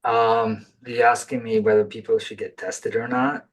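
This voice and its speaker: A man's voice: mid-range voice, little pitch movement, normal speed, low-quality recording. A male physician presenter answering questions on a video call, tentative and full of hesitations. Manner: tentative, many fillers and restarts. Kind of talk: webinar Q&A answers.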